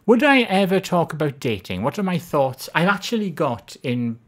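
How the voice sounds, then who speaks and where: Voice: mid-range voice, big pitch swings, moderate pace. A live streamer with a Swansea Welsh accent chatting casually, reading viewers' comments and joking at their own expense. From Wales. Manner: chatty, self-deprecating, reads chat aloud.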